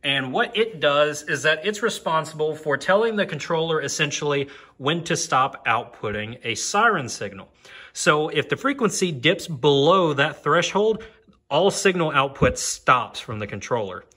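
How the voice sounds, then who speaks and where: A man's voice: on the high side, very animated, moderate pace, pushed and bright, breathy edge. A man giving a casual, hands-on technical demo in an informal, explanatory way. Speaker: hobbyist demonstrating siren equipment. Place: on a concrete floor beside open equipment.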